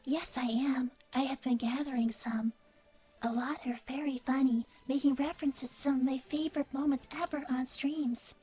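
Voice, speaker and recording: A woman playing an earnest, devoted young artificial-intelligence daughter, soft and breathy, speaking politely and precisely in livestream banter. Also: high-pitched, fairly even intonation, normal speed, faint music behind. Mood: calm, curious. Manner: polite, precise, matter-of-fact. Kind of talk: in-character improvised livestream banter.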